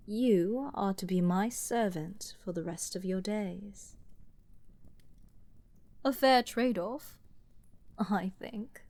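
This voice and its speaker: A woman playing an arrogant, flirty princess with a dark, mocking edge in a dramatic fantasy ASMR roleplay, teasing and often murmuring. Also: fairly high pitch, no room sound. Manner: haughty, teasing, deliberate, often dropping to breathy murmurs.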